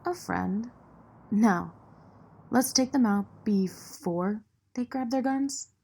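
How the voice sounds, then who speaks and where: A woman's voice: on the high side, takes it slowly, gravelly. A woman playing a cool, taunting vigilante in an audio drama action scene. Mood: cool, wry. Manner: cool, teasing. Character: cocky, combative female vigilante, cool and taunting. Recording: loud noisy action soundscape.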